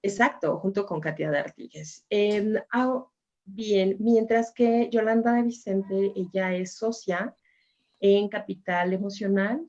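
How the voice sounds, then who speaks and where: Woman moderator, gravelly, muffled call audio, informal. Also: mid-range voice, slow pace. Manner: informal, warm introductions with fillers. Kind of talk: webinar moderation. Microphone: video call. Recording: dull lo-fi video call audio.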